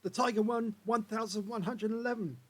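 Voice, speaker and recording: A hoarse man commentating on game replays in a chatty way, filling pauses with uh and um. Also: sits high, fairly even intonation, fairly bright tone.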